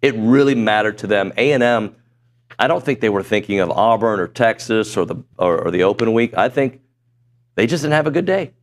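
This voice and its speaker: A middle-aged man hosting a sports talk show in a studio, chatty and self-deprecating, his voice a little hoarse. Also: lowish voice, expressive, a little fast. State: voice going hoarse. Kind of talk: casual sports talk chat. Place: broadcast studio.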